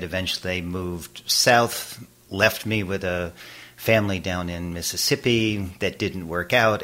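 An older man with a very low voice recalls his childhood in an interview. He is from Boston and talks in a reflective way, trailing off and filling pauses as he thinks. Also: pushed and bright, a little hiss or hum. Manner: reflective, with frequent fillers and trailing phrases. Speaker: interview guest, abstract painter. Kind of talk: relaxed conversational recollection.

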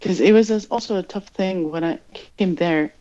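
A woman from Greenland with a Greenlandic accent, speaking English as her third language in a reflective interview, pausing and searching for words. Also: lowish voice, dark tone, lo-fi sound. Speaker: interview guest.

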